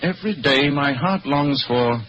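A man playing a brilliant, sardonic English gentleman detective in a radio drama, speaking precisely with dry superiority and an English accent. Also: medium pitch, moderate pace, close to an everyday voice. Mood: bored, disdainful. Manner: precise, theatrical, dryly superior. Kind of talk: old-time radio drama acting. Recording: dull, noisy old radio recording.

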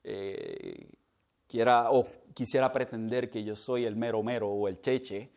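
Male pastor, lively sermon delivery, mixes in English, laughs off his own slips. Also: on the low side, a little slow, gravelly. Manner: animated preaching, mixes in English words; stumbles over words and laughs at himself.

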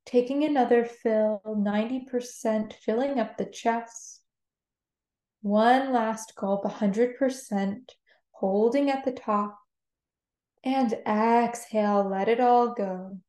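A woman leading a guided meditation with gentle, soothing instructions. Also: a little high, fairly even intonation, takes it slowly. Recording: roomy, echoing sound.

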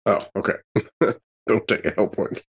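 A man's voice: fairly low pitch, normal speed, breathy edge. A man playing a tabletop role-playing game, chatting casually and thinking aloud as he plans his moves.

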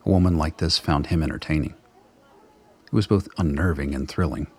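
A man narrating a radio play in a measured, dramatic, literary storytelling style. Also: very deep voice, slightly rough.

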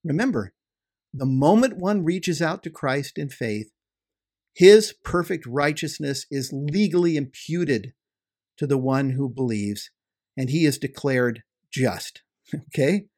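A man's voice: fairly high pitch, animated, takes it slowly, darkish tone. Earnest man explaining theology. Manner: earnest, emphatic explainer who restates points. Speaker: podcast co-host. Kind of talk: theological conversation.

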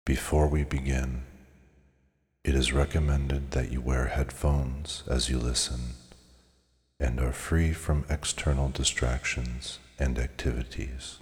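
A man narrating a scripted guided meditation in a measured, soothing, hypnotic way with deliberate pauses. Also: rumbling low, little pitch movement. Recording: long cavernous reverb on the voice.

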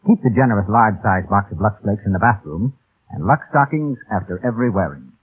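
A man's voice: fairly low pitch, lively intonation, a little fast, husky. A man with an American accent, a radio announcer reading scripted 1930s announcements and sales copy. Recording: tinny, muffled old radio broadcast.

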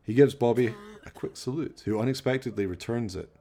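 A man's voice: mid-range voice, brisk, dark tone. A man narrating a radio play as dramatic scripted storytelling.

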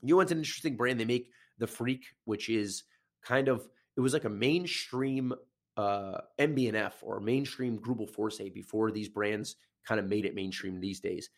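A man's voice: lowish voice, normal speed. Nasal man rambling on a podcast. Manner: rambling, with many fillers and restarts. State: getting over a sinus infection. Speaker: podcast host. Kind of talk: casual solo podcast talk.

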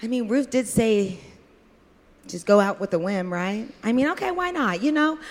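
Naive young lady for comic radio play, woman improvising: highish voice, very animated, polite, eager, hesitant. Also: moderate pace, lightly acted. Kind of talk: improvised comedy acting.

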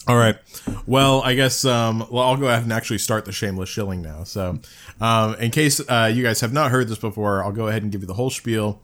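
A man's voice: medium pitch, normal speed, fairly bright tone. A man hosting a podcast, chatting casually, rambling with lots of fillers and laughing often. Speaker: podcast host.

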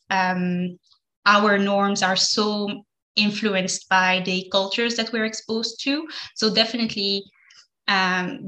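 Young woman from morocco, bright clear voice, thinks out loud with lots of ums, chatty interview guest. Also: medium pitch, poor audio. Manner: thoughtful, with frequent ums and likes. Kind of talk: casual interview answers.

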